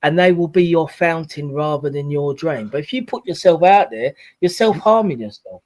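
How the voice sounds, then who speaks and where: A man in his forties offers life advice in a casual livestream chat, leaning on sayings in a preachy way. His voice sits fairly high, and the sound is dry and close. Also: animated, moderate pace, darkish tone, dry recording. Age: 40s. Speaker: guest on a livestream.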